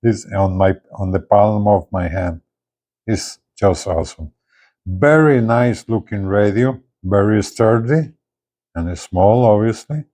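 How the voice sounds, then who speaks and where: Male product reviewer, deep-ish voice, unhurried and halting, casual hands-on walkthrough. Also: dark tone. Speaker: gadget reviewer presenting a CB radio.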